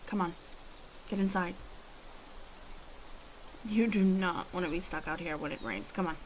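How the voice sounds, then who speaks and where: A woman playing a proud, guarded but protective female werewolf in an ASMR roleplay, speaking haltingly and hushed, often dropping into whispers. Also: on the low side, slight background noise, natural voice. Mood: bossy, teasing.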